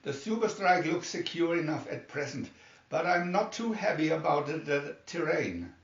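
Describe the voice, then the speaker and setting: A brisk, alert rescue pilot read out by a man from a storybook, reporting in a businesslike way. The voice is slightly high and comes from a little way off in a roomy space. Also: sing-song. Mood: brisk, concerned. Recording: dull, roomy, distant microphone.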